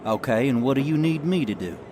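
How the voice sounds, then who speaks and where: An actor playing a wary, curt U.S. Marshal in a radio drama. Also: male voice, mid-range voice, animated, fairly quick, background noise, natural voice. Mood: wary, dismissive. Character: gruff, wary male U.S. Marshal.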